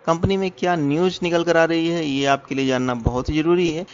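A man's voice: on the high side, fairly even intonation, brisk. A man with an Indian accent presenting an explanatory stock analysis as a voice-over, in a muffled lo-fi recording. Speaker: presenter of a stock market education channel.

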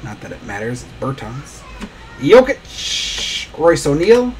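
A man's voice: fairly high pitch, very animated, slow, a little hiss or hum. A man hosting a live trading card break, opening packs and rattling off player names as he goes. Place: small indoor studio desk.

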